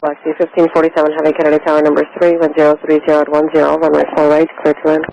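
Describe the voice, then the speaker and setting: A man working as an airport tower controller, giving brisk, clipped radio instructions. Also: fairly high pitch, monotone, vocal fry, darkish tone, dull audio, slight background noise. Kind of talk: radio phraseology.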